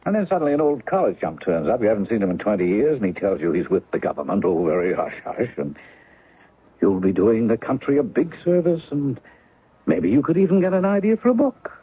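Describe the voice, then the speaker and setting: An actor playing a rueful, blocked novelist with a wry, self-mocking manner. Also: male voice, fairly low pitch, big pitch swings, fairly quick, breathy edge. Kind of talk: 1970s radio drama acting. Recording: narrow 1970s radio broadcast, faint music.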